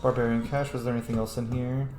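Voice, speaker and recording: A man's voice: medium pitch, flat delivery, fast talker, darkish tone. Casual man in a roomy, echoey space. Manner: informal, profane, chatty. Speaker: gameplay host, role-playing commentator. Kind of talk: casual gameplay commentary. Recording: roomy echo, faint noise.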